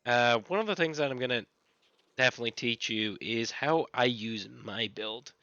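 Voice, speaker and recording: A man with a scratchy voice, casually explaining how he plays a game character, with relaxed, repetitive phrasing. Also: medium pitch, moderate pace, no echo, dull audio. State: recovering from illness. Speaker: gaming channel host. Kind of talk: casual gameplay tutorial.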